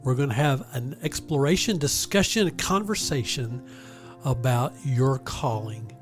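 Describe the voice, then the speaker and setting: A man leads a relaxed podcast interview in a folksy, joking way, stretching words out as he goes. Soft music plays faintly beneath him. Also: mid-range voice. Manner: folksy and joking, drawn-out delivery. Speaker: university president and podcast host.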